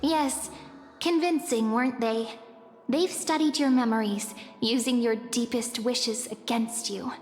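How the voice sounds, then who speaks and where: A woman voicing a gentle, mysterious young woman in a video game, earnest and persuasive. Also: fairly high pitch, measured pace, close to an everyday voice. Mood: earnest warning. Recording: echoey long reverb, music underneath.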